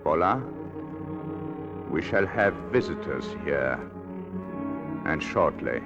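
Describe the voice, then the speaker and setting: An actor playing a cold, manipulative husband with a deliberate, insinuating manner, in a 1940s radio drama style. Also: male voice, extremely low pitch, lively intonation, highs cut off, over loud music, full character voice. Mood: coldly menacing. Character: cold, domineering, manipulative husband, smoothly cruel.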